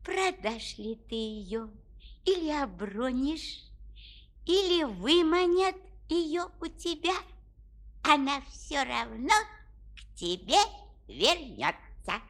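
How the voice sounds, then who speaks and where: A woman's voice: high-pitched, big pitch swings, slow, pauses often, fairly bright tone, full character voice. An actress playing a kindly, all-knowing old woman in a fairy-tale audio play, speaking emphatically. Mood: knowing, mysterious.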